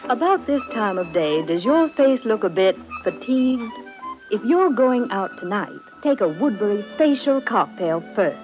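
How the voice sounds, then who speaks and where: Casting: female 1930s commercial announcer, medium pitch, dull vintage sound, faint music. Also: very animated, normal speed. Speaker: advertisement announcer. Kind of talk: 1930s radio advertisement. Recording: muffled 1939 radio, faint music.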